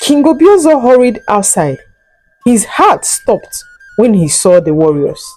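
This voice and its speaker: A woman narrating a scripted folk tale in a solemn, deliberate and dramatic storytelling manner. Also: on the high side, very animated, darkish tone, faint music behind, rough recording.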